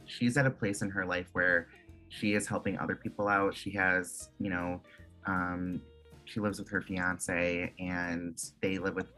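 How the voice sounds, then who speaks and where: Twenties guy from michigan, bright lowish voice, rambly chatty interview guest, soft music under it. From Michigan, USA. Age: 20s. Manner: chatty, lots of "like" fillers. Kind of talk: casual interview chat. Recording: faint music behind.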